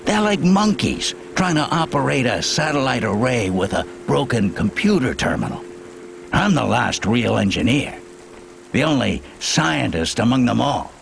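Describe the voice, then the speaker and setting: Gravelly, animated eccentric man. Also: medium pitch, moderate pace, noisy background. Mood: pompous, scornful. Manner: rambling, muddled, self-important. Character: eccentric male self-styled engineer, muddled, paranoid, self-important. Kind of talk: video game dialogue.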